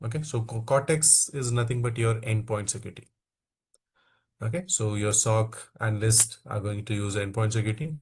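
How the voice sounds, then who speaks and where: A man from India leading an online training class, who keeps saying "okay" between phrases and coaxes quiet participants to speak. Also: lowish voice, fairly even intonation, normal speed. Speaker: instructor.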